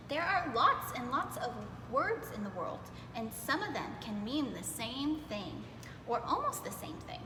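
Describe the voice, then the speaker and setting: High-voiced woman reading to kids, echoey room. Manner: playful, expressive, emphasizes word lists. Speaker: preschool storytime reader. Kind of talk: animated children's read-aloud. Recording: echoey reverberant room with clear background noise.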